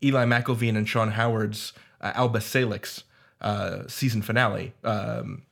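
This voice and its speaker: A man's voice: fairly low pitch, steady tone, a little slow, creaky, fairly bright tone. A man speaking in an academic panel discussion, articulate and wordy with scholarly phrasing. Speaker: roundtable panelist, audio fiction creator.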